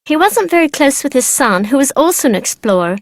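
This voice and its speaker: A woman's voice: sits high, very animated, a little fast, dry recording, studio quality. A woman voicing a chatty, precocious young girl from an aristocratic family in a video game, with casual, slangy, teasing talk. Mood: chatty, informative. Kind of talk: video game character dialogue.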